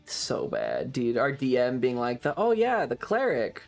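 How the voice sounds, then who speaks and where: Guy on a stream just chatting, swears a ton, repeats stuff for emphasis, average pitch, some music playing. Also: huge pitch swings, measured pace, vocal fry, darkish tone. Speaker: livestreamer. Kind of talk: casual chat, animated storytelling to stream viewers.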